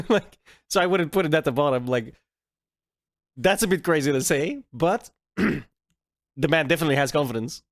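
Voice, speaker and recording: A man's voice: a little high, lively intonation, normal speed, pauses often, no echo, studio quality. A man hosting a reaction video, talking in a casual, blunt and joking way and laughing often.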